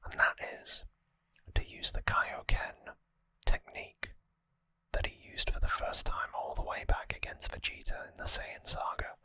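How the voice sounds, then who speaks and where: An ASMR host whispering an explanatory recap in a hushed, unhurried manner, close to the microphone. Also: no room sound.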